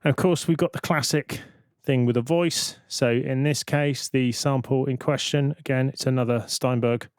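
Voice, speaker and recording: Man explaining software, close dry sound. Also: mid-range voice, steady tone, moderate pace, hardly any pauses, dry recording. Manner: explanatory, thinking aloud. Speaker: tutorial presenter. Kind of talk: informal software tutorial narration.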